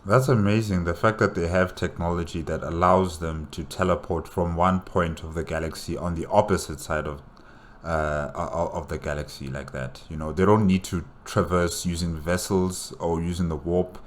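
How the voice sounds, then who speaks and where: A man's voice: sits low, fairly even intonation, normal speed. A man giving casual, off-the-cuff commentary as a reaction host, thinking aloud with hesitations and repeated words.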